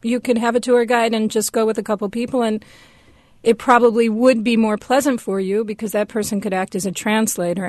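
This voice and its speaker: A woman speaks as a guest on a radio program in a dry studio sound. Her answers are conversational, measured and explanatory, at a medium pitch. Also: darkish tone, no room sound.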